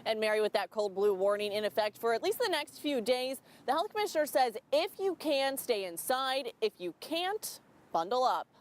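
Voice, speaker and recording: A woman's voice: a little high, very animated, moderate pace. A woman reporting live for a television newscast, in a scripted news delivery.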